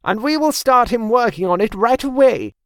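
A man's voice: extremely high pitch, big pitch swings, moderate pace, a bit bright, no echo, clean studio sound, pitched above the performer's own voice, exaggerated voice. A man voicing a royal advisor in a raised, strained voice, reading an audiobook. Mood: eager.

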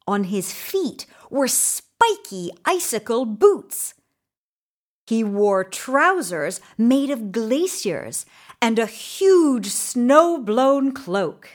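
Slow, expressive woman storyteller. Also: a little high. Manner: expressive, deliberate storytelling with dramatic emphasis. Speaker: storybook narrator. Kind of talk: scripted children's story narration.

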